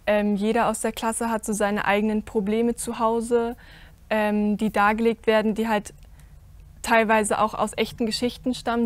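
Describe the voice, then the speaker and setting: A teenage girl on a stage panel, answering earnestly as a cast member, with many pauses and fillers. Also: fairly high pitch. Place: stage with curtain, indoors.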